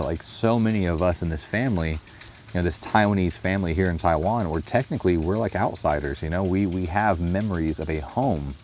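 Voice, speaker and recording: Deep-voiced reflective man. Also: darkish tone. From United States. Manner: thoughtful, unhurried, often says "you know". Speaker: vlogger. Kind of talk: reflective personal vlog talk.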